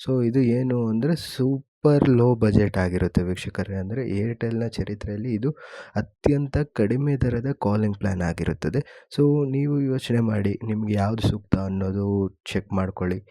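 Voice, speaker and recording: A man's voice: lowish voice, normal speed, mellow, no room sound, studio quality. A man hosting an informal tech explainer, addressing viewers and going over details step by step. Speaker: host of a tech explainer channel.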